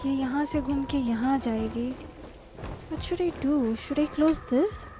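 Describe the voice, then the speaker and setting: A woman's voice: high-pitched, racing. A woman with an Indian accent giving casual live commentary as she plays a game, muttering reactions to herself. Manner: switches between Hindi and English, reacts to herself. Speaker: game streamer. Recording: game music behind the voice.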